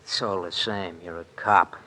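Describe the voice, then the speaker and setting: A man's voice: sits low, steady tone, moderate pace, sharp tone. A man playing a sadistic, menacing underworld thug in a 1950s radio drama, taunting and threatening in slangy talk. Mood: sneering menace. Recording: old AM radio drama recording.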